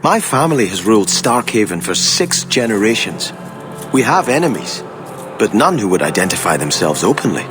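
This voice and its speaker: Game casting: devout, courteous prince in exile, formal and earnest, lively melodic delivery. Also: male voice, on the low side, moderate pace, music in the background. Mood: grave, measured. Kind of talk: video game dialogue.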